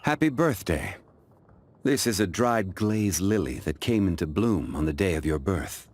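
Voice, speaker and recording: A man playing an ancient, calm, dignified gentleman in a video game, speaking in a formal, measured, reflective way. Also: low voice. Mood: gentle, solemn. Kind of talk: scripted video game character voice lines.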